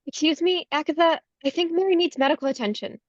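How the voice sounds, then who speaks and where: Very high-voiced, caring beauty queen played by a woman. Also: quick pace, highs cut off, natural voice. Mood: concerned. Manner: caring, earnest. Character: kind, concerned young female beauty queen. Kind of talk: screenplay table read acting.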